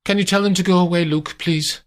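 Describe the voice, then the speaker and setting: A man reading aloud voices an imperious wealthy woman who gives crisp orders. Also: fairly low pitch, fairly even intonation, a little fast, voice pushed up, close to an everyday voice. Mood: commanding. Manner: clipped, haughty, gives orders.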